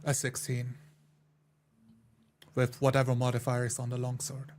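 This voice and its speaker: A man's voice: medium pitch, monotone, normal speed, darkish tone. A man playing a tabletop roleplay game, describing his character's actions.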